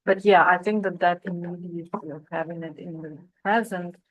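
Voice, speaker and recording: A woman translator on a panel, answering a question thoughtfully with soft fillers and restarts. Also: fairly low pitch, fairly even intonation, normal speed, rough recording.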